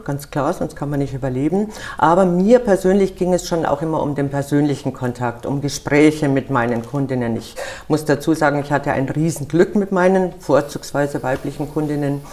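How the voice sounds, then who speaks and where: Low-voiced older Bavarian woman. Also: normal speed, hardly any pauses. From Munich, Bavaria. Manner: direct, lively, with frequent fillers. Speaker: interview guest, activist group organizer. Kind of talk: conversational interview.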